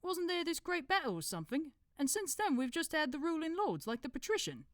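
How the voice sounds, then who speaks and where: Storybook know-it-all brother, acted by a woman: colloquial, rambling, lifted in pitch and lively. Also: fast talker, no room sound, studio quality. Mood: eager, curious. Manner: colloquial, rambling, matter-of-fact. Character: chatty, know-it-all male member of a secret brotherhood.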